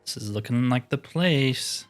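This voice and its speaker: Male gaming host, casual think-aloud playthrough commentary, mid-pitched voice, music underneath. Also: measured pace.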